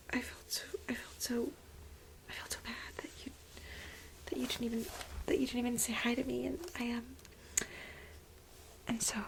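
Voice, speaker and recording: A woman playing a clingy, unhinged millennial office assistant in a breathy, halting, soft-spoken ASMR role play. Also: fairly high pitch, noisy background. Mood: wounded. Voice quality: breathy, whispery, creaky. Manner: halting, dramatic, self-justifying.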